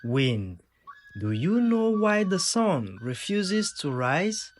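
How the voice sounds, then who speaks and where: Storyteller doing worried young village girl in a folktale, earnest questions, slow and expressive. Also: mid-range voice, a little hiss or hum. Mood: worried, questioning.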